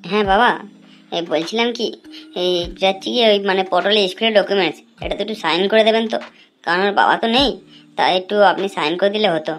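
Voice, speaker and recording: A woman's voice: mid-range voice, fast talker, close to an everyday voice. A woman voicing a scheming young widowed daughter-in-law in an amateur cartoon, brash and colloquial. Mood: falsely polite. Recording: music under the voice.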